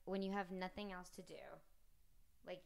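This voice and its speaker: Young woman, chatty, low-ish pitch, laughs often, casual Q&A talk. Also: level tone, normal speed, clean tone. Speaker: flight attendant and vlog host. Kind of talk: casual couple Q&A chat.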